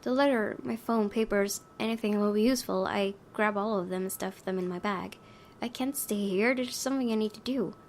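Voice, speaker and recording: A woman doing let's play narration, reading a story aloud expressively with casual, offhand asides. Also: fairly quick. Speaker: gaming video host, reading a visual novel aloud. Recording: faint low rumbling background noise.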